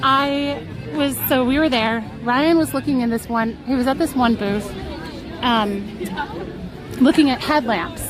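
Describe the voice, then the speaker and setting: A woman with a high, bright voice tells a story in a chatty, self-mocking way and giggles often. She is outdoors at a theme park, streaming on a phone, with loud park music and a crowd behind her. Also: measured pace. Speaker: live-stream vlogger. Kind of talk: casual storytelling to a live chat.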